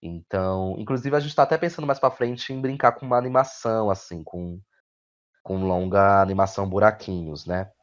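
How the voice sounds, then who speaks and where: A man's voice: fairly low pitch, fairly quick. A man with a Brazilian Portuguese accent talking reflectively as an interview guest, often repeating words and adding tag questions. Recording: dull, lo-fi audio.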